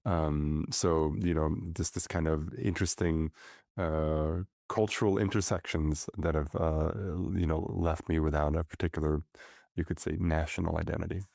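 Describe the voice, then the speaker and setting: Very deep, gravelly-voiced reflective man. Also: fairly even intonation, moderate pace, darkish tone, dry recording, studio quality. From grew up in many countries. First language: Russian. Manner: thoughtful, hesitant, many fillers and restarts. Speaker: podcast guest. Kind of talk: reflective conversational interview.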